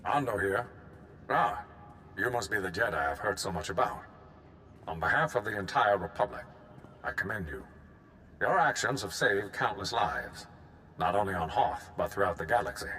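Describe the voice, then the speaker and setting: A man's voice: lowish voice, expressive, moderate pace, long pauses, sharp tone, a little hiss or hum. A man playing a commanding, gracious military general in a video game, formal and authoritative. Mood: formal, approving. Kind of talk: video game cutscene acting.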